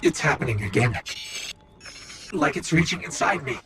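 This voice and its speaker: A man's voice: a little high, lively intonation, normal speed, fairly bright tone. A man playing a small, loyal, wary robot companion in a video game, with an earnest and dramatic delivery. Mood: uneasy, entranced. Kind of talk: video game cutscene acting. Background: music.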